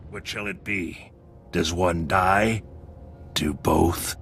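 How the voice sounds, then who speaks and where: Gravelly, menacing cyborg crime boss. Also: male voice, low voice, a bit bright, soft background music, exaggerated voice. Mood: contemptuous menace. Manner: slow, grandiose, threatening delivery. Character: menacing cybernetic male crime boss, boastful and contemptuous. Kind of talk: video game villain acting.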